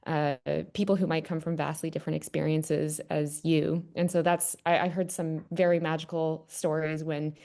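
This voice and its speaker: A young woman with a Canadian accent speaks over a video call in a low voice with a gravelly fry underneath. She is chatty and easygoing, and she often softens her points with "kind of". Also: level tone, moderate pace, few pauses. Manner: chatty, with many "kind of" fillers. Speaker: guest panelist. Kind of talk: casual webinar talk.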